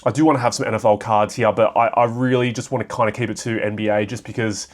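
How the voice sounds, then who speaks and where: A young Australian man with a raspy voice from a cold, casually talking through card sale prices with lots of fillers. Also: on the low side, steady tone, quick pace. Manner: rambling, filler-heavy, thinking aloud. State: hoarse from a cold. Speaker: card shop vlogger. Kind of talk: casual solo commentary.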